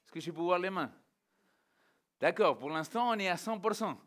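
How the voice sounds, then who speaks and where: A male pastor from Argentina, native in Spanish, speaks in a high-pitched voice. He preaches informally and interactively, addressing his listeners directly with animated rhetorical questions. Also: moderate pace. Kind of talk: informal interactive sermon to a congregation.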